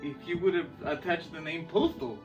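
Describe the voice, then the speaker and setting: A man's voice: fairly high pitch, lively intonation, normal speed, gravelly, muffled recording. A man co-hosting a podcast, talking casually and off the cuff about films and games with slangy, profane, jokey banter. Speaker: podcast co-host. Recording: far from the mic, music behind.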